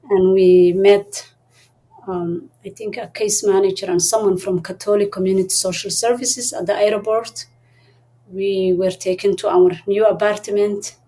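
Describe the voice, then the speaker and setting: A soft-spoken woman, her voice mellow and warm. She talks thoughtfully as an interview guest, pausing and restarting as she gathers her words. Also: medium pitch. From Somalia. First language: Somali. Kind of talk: interview conversation.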